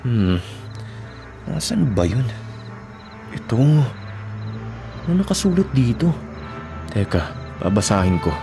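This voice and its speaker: A man acting an earnest, lovestruck young boyfriend in a radio drama, expressive and prone to stammering when startled. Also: fairly low pitch, takes it slowly, gravelly, long pauses, over music, exaggerated voice. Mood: puzzled, uneasy.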